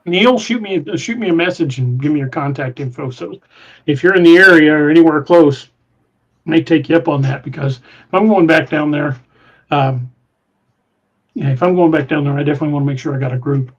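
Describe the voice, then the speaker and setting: Give me a man with a twang and a slightly high voice, hosting a podcast and rambling through listener comments with lots of restarts. Dull, lo-fi recording. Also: a little fast. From Missouri, USA. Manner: rambling, hesitant with many restarts. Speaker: podcast host. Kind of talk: casual live-stream chat reading comments.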